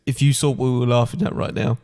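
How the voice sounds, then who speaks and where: A man playing a flippant, reluctant Scrooge in a comic pantomime, with hesitant, deadpan replies. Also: mid-range voice, fast talker, gravelly, in a large hall, lightly acted. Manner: deadpan, hesitant, cheeky asides. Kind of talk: semi-improvised comic pantomime.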